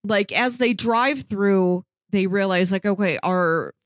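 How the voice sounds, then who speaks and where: Female podcast co-host, medium pitch, loose chatty storytelling, recorded dry. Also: takes it slowly, no echo. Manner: conversational, peppers speech with "like". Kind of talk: casual discussion and retelling of a story.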